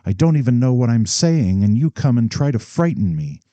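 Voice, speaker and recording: A man's voice: medium pitch, very animated, normal speed, warm tone, no room sound, voice pushed up, natural voice. A man voicing a frantic defiant young bride. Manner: pleading, voice breaking. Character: young woman bride, defiant and near hysterical.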